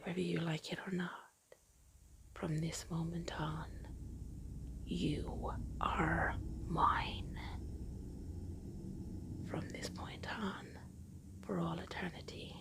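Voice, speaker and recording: A woman playing a seductive, menacing female demon in a dark roleplay, speaking in a breathy, creaky whisper with a taunting, possessive manner. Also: on the low side, little pitch movement. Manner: slow, drawn-out, taunting, possessive. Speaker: roleplay audio performer.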